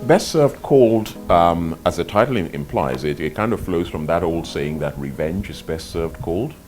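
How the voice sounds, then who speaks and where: An older man from Nigeria, an author answering interview questions in a measured, articulate way, often repeating words as he thinks. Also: sits low, animated, a little mellow, faint music behind. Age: 50s or older. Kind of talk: reflective interview talk.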